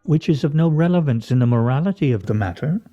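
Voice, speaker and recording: A man's voice: a little high, animated, quick pace, dark tone, muffled recording, natural voice. An actor in a radio play voicing a pompous, sardonic man who speaks in grandiose, mock-serious style. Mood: mock indignation. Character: pompous, sardonic, theatrical man at a scrabble game.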